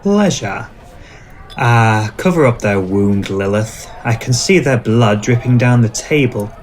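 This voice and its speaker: A courtly male vampire, played by a man, talks slowly and deliberately at a middle pitch. He is suave and romantic yet cautious, gently scolding in the manner of an ASMR roleplay. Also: lively intonation, close to an everyday voice. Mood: stern, restrained.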